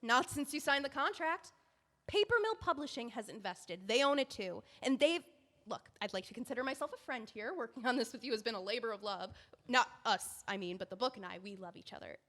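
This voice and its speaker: A woman plays an earnest young editor in an audio drama, her high voice swinging all over as she pleads and rushes from one thought to the next. A long, reverberant room tail hangs behind every line. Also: lively intonation, a bit bright. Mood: flustered. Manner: earnest, rushing, emotional pleading.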